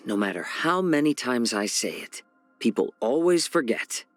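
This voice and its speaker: An actor voicing a genial, sly fox-man healer and chef in a video game, speaking softly and smoothly in a measured, gently teasing way. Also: medium pitch, very animated, dry recording, faint music behind. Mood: wry, genial. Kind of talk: scripted game character voice lines.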